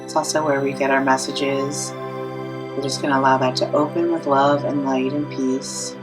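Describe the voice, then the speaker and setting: A woman leading a guided meditation in a soothing, unhurried way, pausing between short phrases. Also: rumbling low, flat delivery. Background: new-age music.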